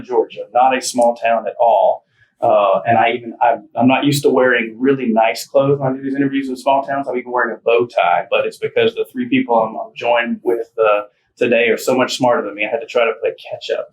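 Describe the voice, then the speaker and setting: A man hosting a casual interview podcast, chatty and folksy with many fillers and restarts. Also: medium pitch. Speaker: podcast host and small-town mayor. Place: office conference room. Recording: roomy, distant mic with faint noise.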